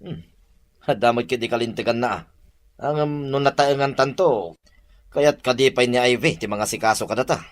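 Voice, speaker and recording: A man's voice: mid-range voice, normal speed, long pauses, no room sound, natural voice. A man playing a concerned family man in an audio drama, with a Filipino accent. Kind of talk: Ilocano audio drama acting.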